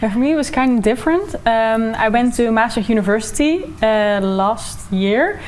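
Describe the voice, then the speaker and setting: A young woman, a student speaking briskly with some fillers. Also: on the high side, lively intonation, crowd noise. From Netherlands. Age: young adult. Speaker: student panelist. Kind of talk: casual panel talk.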